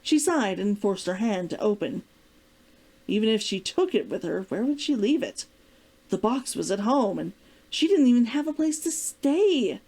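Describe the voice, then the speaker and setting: A woman's voice: on the high side, big pitch swings, moderate pace, mellow. A woman hosting a read-along, narrating fiction expressively in a storybook style. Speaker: author-reader, read-along host.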